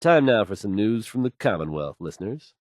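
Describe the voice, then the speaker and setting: An actor playing a self-assured, smooth male radio DJ in a game, delivering news with polished, wry showmanship. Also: on the low side, sing-song, a little fast, no room sound, clean studio sound. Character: confident, suave, upbeat male radio DJ.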